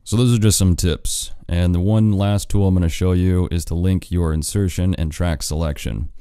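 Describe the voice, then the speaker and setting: A deep-voiced American man walks through a software tutorial step by step. His voice is mellow and smooth, recorded dry and close like a studio voice-over. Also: steady tone, moderate pace, no room sound. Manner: plain step-by-step explaining. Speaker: audio engineer and instructor.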